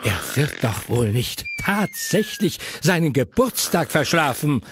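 A man acting as a wry, friendly storybook narrator in a children's audio drama, with a playful, expressive delivery. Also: fairly high pitch, measured pace, background noise. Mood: wry concern.

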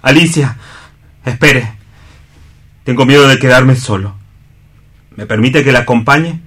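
A man playing an anguished, self-pitying man in a radio play, declaiming solemnly and deliberately, bitter yet noble. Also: mid-range voice, fairly bright tone, breathy edge. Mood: subdued, fragile. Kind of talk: theatrical radio play reading.

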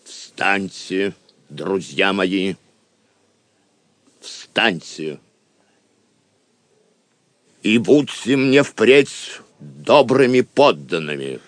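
A man's voice: deep voice, lively intonation, very slow, long pauses, dry recording, full character voice. An actor playing a brave, commanding king in an audio drama, proud and stern yet magnanimous, with stately declamation. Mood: solemn, gracious. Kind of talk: theatrical audio drama acting.

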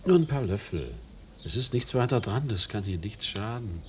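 An actor playing a kindly, pious American neighbour who fusses chummily over a sick friend in a radio drama, with a gravelly voice. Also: male voice, fairly low pitch, animated, moderate pace, a little hiss or hum, lightly acted. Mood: soothing, caring. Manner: solicitous, chummy.

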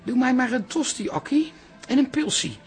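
An actress playing a tipsy, chatty older aunt and pub regular, creaky-voiced, in a radio drama. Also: female voice, high voice, very animated, normal speed, over loud music. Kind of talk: naturalistic radio drama acting.

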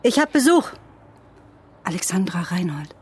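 A woman's voice: lowish voice, sing-song, a little slow, a little mellow, slight background noise. An actress playing a guarded but caring young woman, cool and direct, in a naturalistic German radio drama.

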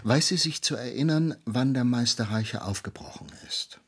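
An actor playing a stern, insistent magistrate in a German period radio drama, husky and formally interrogating. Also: male voice, medium pitch, normal speed. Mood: officious. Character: stern, insistent male magistrate questioning a witness.

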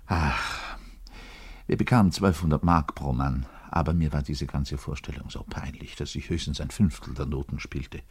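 Low-voiced self-pitying male double bassist, radio drama monologue, theatrical and embittered, confiding in the listener. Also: normal speed, breathy edge, exaggerated voice. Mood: embarrassed, confiding. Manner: grandiloquent, swinging between outbursts and muttering. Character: lonely, bitter, self-pitying orchestral double bass player, man.

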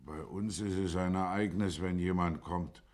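An actor playing a plain-spoken small-town neighbour in a German radio drama, measured and deferential in manner. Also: male voice, low-pitched, fairly even intonation, a little mellow, close to an everyday voice.

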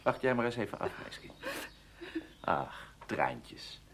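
A man acting a menacing, scheming ex-lover in a Dutch radio play, taunting and mock-polite in a deliberate way. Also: lowish voice, very animated, background noise, full character voice. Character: menacing scheming male ex-lover, smooth blackmailer.

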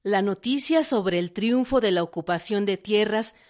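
A woman's voice: mid-range voice, normal speed, darkish tone. A woman reading scripted narration and credits for a historical radio production.

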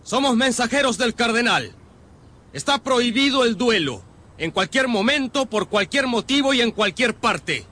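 An actor playing an officious, imperious envoy of a cardinal in a radio drama, shrill and insistent. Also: male voice, lively intonation, moderate pace, bright, forceful tone, full character voice.

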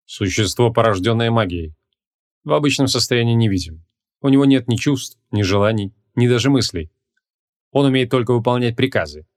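A man voicing a proud, smug ancient wizard in an audiobook, with a gruff, lordly and measured delivery. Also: medium pitch, animated, lightly acted. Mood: matter-of-fact, smug.

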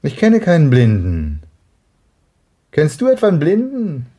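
A grudging husband, wildly varied intonation. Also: male voice, on the high side, huge pitch swings, unhurried, warm tone. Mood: irritated, sarcastic. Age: young adult. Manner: brusque, wary, sarcastic. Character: grudging, suspicious young husband at his front door. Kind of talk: German radio play acting.

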